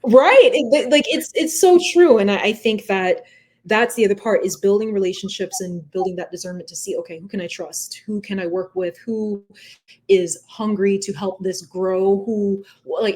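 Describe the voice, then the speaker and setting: A woman in a reflective interview conversation, with a voice of medium pitch. She explains things earnestly and with emphasis, often checking in with short questions as she goes. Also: moderate pace, darkish tone. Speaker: podcast guest, entrepreneur and nonprofit founder.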